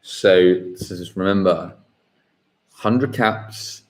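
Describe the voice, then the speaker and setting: A man hosting a live football quiz, reading questions slowly and repeating them. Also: low voice. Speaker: quiz host.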